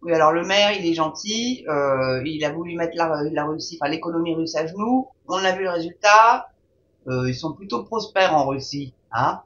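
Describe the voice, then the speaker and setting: A woman's voice: low voice, normal speed, fairly bright tone. Woman host, reads news aloud with sneering hesitant asides; muffled lo-fi sound, faint hiss. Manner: mocking, informal, many hesitations. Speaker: video host. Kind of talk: reading a news article aloud with sarcastic asides. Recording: dull lo-fi with faint noise.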